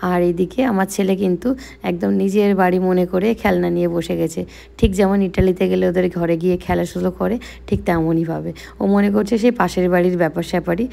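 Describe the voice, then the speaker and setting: A woman's voice: lowish voice, gravelly, mellow. A young woman with a West Bengali accent narrating a casual daily vlog in a chatty, explanatory way. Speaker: vlogger.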